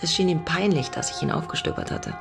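A woman's voice: low-pitched, wildly swooping pitch, fast talker, creaky, music in the background, full character voice. An actress playing a lonely middle-aged woman in an intimate radio drama, playful yet haunted by her vanished father, with a reflective, teasing way of talking. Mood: dreamy, wistful.

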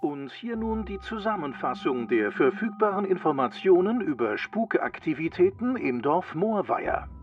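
A man's voice: high voice, very animated, moderate pace, hardly any pauses, muffled recording. An actor playing a solemn, officious male announcer reading alarming ghost bulletins in a children's audio drama. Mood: formal. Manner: formal, officious, grave. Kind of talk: scripted radio bulletin narration in a children's drama.

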